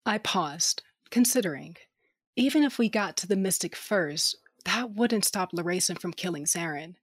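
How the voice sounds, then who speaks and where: A woman's voice: fairly low pitch, expressive, normal speed, slightly rough, no room sound. Dry-voiced woman narrating an audio drama. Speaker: narrator and lead character.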